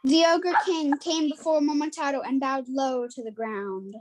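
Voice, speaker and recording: A woman's voice: sits high, steady tone, normal speed, heavy background noise, lightly acted. A woman narrator reading a scripted folktale as part of a dramatic performance.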